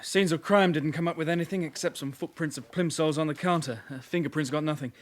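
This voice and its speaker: A man's voice: fairly high pitch, fast, natural voice. A man acting an earnest young detective constable in a radio drama, reporting facts with small hesitations. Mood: factual.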